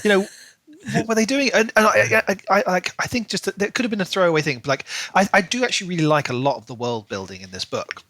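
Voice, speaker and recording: Bright, higher-voiced man chatting animatedly. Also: quick pace, dry recording. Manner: animated, acts out imagined quotes mockingly. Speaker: podcast co-host. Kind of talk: casual book discussion.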